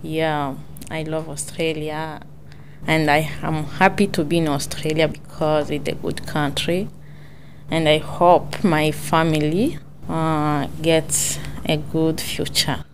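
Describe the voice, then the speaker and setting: A woman speaks slowly and haltingly, often stopping to search for her words. Her voice sits fairly low, with a faint hiss of background noise. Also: little pitch movement. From Congo. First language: French. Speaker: adult English learner. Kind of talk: personal introduction.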